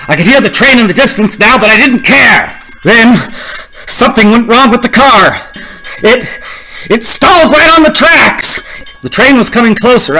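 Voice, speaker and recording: Man acting a haunted, frantic driver, bright intense voice. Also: mid-range voice, a little hiss or hum, low-quality recording. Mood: rising panic. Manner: intense, dramatic, halting and stammering when agitated. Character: haunted, increasingly frantic male cross-country driver narrating his ordeal. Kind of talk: suspense radio play acting with first-person narration.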